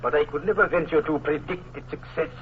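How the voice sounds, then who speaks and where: An actor playing a calm, cautious colonel who speaks in a formal, measured way in a 1940s radio drama. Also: male voice, a little high, very noisy, natural voice. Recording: tinny old radio broadcast.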